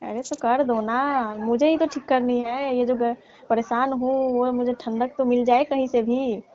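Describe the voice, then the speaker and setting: A woman acting as a middle-aged married aunty with a fairly high voice, coy and teasing. She draws out her complaints flirtatiously in a comedy call skit. The audio is dull and lo-fi, like a recorded phone call. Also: fairly even intonation, measured pace, a little mellow, close to an everyday voice. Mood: coy, confiding. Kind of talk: staged intimate phone chat in colloquial Hindi.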